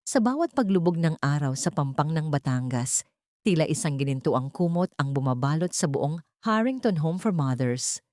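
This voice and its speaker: A woman narrating a sentimental story from a script in a measured, solemn, poetic manner. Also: deep voice, darkish tone, no room sound, studio quality.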